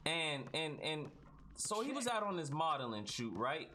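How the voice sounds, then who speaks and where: A man's voice: on the high side, very animated, moderate pace. A man improvising a mock-epic comic story in casual slang, repeating himself and tagging phrases with "right?". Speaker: storyteller narrating over gameplay video. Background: basketball video game sounds and chatter.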